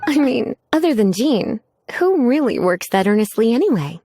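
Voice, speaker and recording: A woman's voice: on the high side, sing-song, moderate pace, warm tone, soft background music. A woman playing a coy, languid adult female librarian in an anime game, teasing and sweetly menacing as she drawls.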